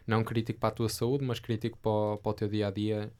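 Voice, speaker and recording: A man's voice: on the low side, completely flat delivery, quick pace, a little mellow. A young man from Portugal with a European Portuguese accent, co-hosting a casual tech podcast, hesitant with fillers as he reads the news. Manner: hesitant, many fillers and restarts while reading. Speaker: podcast co-host.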